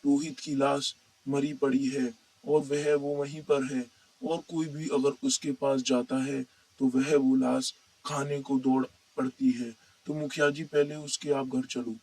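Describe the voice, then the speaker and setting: A man's voice: mid-range voice, level tone, a little slow, darkish tone, rough recording. A man playing an alarmed villager reporting to his headman in a cartoon story, reciting urgently.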